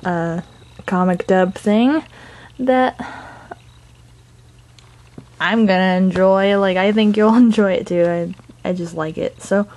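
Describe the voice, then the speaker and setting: A woman's voice: medium pitch, takes it slowly, long pauses, darkish tone. A woman narrating casually in a rambling, goofy vlog style, recorded indoors on a camera microphone. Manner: goofy, giggly. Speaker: amateur artist and comic dubber. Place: indoors at home, sitting on the floor.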